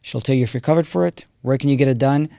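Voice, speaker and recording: A man's voice: medium pitch, quick pace, creaky, warm tone, no room sound, clean studio sound. A man in his thirties with an Israeli accent, a company co-founder explaining things step by step as a podcast guest. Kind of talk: podcast interview.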